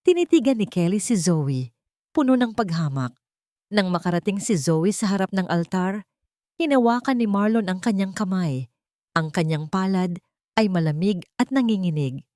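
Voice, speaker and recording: A woman's voice: medium pitch, animated, moderate pace, warm tone, no echo, clean studio sound. A woman with a Filipino accent narrating a scripted romantic drama story.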